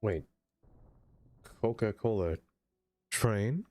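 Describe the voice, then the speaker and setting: A man streaming a game in casual livestream chat, with a stuffed-up nose, chatty and excitable as he greets viewers by name. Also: on the low side, very slow, no echo.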